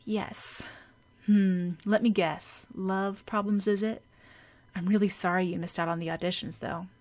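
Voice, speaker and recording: A woman voicing a suave, teasing teenage boy with a smooth, breathy delivery in an audiobook. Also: medium pitch, steady tone, a little slow, a little mellow, natural voice. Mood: teasing sympathy.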